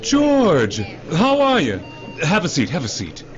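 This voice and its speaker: A man's voice: on the high side, wildly swooping pitch, unhurried. Actor as a glib, swooping male executive. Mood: jovial, glib. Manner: overfamiliar, salesman-like cajoling, repeats himself. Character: glib middle-aged male executive, defensive and self-justifying. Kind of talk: radio drama acting. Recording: dull radio drama recording, faint noise.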